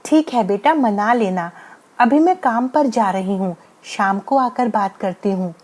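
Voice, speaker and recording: A woman's voice: medium pitch, lively intonation, measured pace, a little mellow. A woman voicing a poor, loving village mother in a bedtime story, coaxing and affectionate. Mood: gentle.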